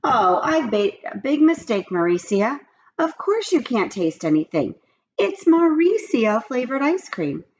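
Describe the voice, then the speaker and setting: Woman reading as an earnest, expressive schoolteacher. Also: a little high, measured pace, dull audio, slight background noise, pitched above the performer's own voice. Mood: apologetic. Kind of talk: children's storybook read-aloud character.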